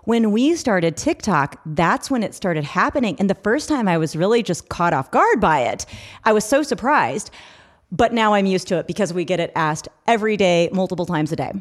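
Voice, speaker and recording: A woman's voice: mid-range voice, expressive, normal speed. A middle-aged woman hosting a podcast, talking casually and earnestly as a parent giving practical advice. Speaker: podcast host.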